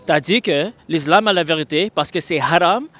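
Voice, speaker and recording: A man interviewing people on the street, calm and probing, restating claims back to check them. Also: on the high side, normal speed.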